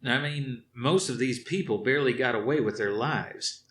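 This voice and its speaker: A man's voice: mid-range voice, normal speed, fairly bright tone, echoey room, pitched above the performer's own voice, close to an everyday voice. A man reading aloud, voicing a worried male colonel raising objections to his commander. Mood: concerned.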